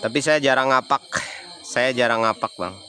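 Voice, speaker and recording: A man's voice: mid-range voice, moderate pace, bright, forceful tone, soft background music. A man from Banyumas with a Javanese accent, chatting casually on a livestream and answering viewers' comments. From Banyumas, Central Java. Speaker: livestreaming explorer.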